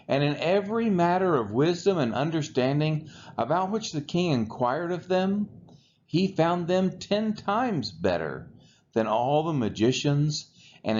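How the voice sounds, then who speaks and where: A man reading the Bible aloud from the text in a measured, deliberate way, phrase by phrase. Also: on the high side, darkish tone, highs cut off, slight background noise. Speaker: scripture reader.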